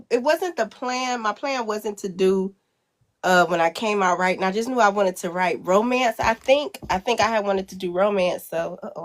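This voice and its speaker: A woman author getting over a cold, sounding a bit congested, talking in a rambling, hesitant way with many fillers over a video call. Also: fairly low pitch. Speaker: guest author. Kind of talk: casual interview chat.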